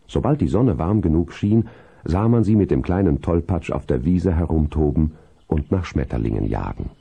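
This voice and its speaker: An actor narrating a children's radio play as a storyteller, in scripted, measured narration. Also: male voice, extremely low pitch, animated, vocal fry, mellow, husky, highs cut off, full character voice. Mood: measured storytelling. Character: calm male narrator of a children's horse story. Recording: 1970s vinyl radio-play recording.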